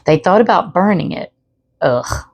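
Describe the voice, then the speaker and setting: Low-pitched woman reading stories aloud. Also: animated, a little slow, creaky, a little mellow, slightly rough, no room sound. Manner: chatty asides to the listener. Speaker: storyteller. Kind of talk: expressive read-aloud narration.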